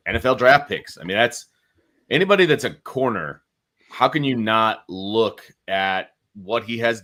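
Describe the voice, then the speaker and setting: Low-voiced man talking football. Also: fairly bright tone. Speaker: sports talk show co-host. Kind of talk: casual football discussion.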